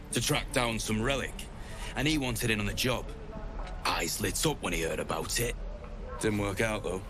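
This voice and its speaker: An actor playing a gruff, cynical mercenary rogue in a fantasy game, with a British accent and slangy, sardonic delivery. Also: male voice, lowish voice, natural voice.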